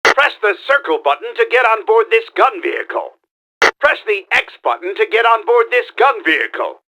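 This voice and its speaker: Pompous cartoony mad scientist game villain, high pitched and super animated, gravelly, bossy theatrical delivery. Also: male voice, normal speed, background noise. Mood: instructive, bossy. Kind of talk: video game tutorial voice lines.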